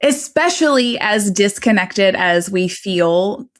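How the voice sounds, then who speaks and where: A woman's voice: medium pitch, expressive, slow pace. A woman hosting a podcast in a casual conversational style, affirming and encouraging her guest. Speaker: podcast host.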